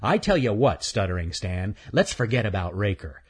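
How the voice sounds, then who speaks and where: A man's voice: fairly low pitch, sing-song, normal speed, breathy edge. A man voicing a cocky male chipmunk who teases and wheedles in a children's story. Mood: pushy, needling. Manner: teasing, pushy.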